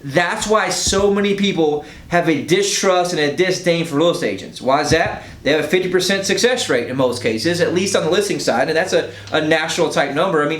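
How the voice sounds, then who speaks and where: Guy realtor doing a persuasive sales pitch, slightly high voice, echoey room w some rumble. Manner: emphatic, persuasive, rhetorical check-ins with "right?". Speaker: real estate agent pitching services. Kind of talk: informal sales pitch to prospective clients. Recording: roomy echo, faint low rumble.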